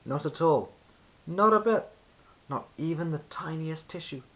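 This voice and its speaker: Very animated male storybook reader. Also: a little high, a little hiss or hum. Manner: expressive, deliberate storytelling with emphasis on repetition. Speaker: children's book reader. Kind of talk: scripted storybook narration.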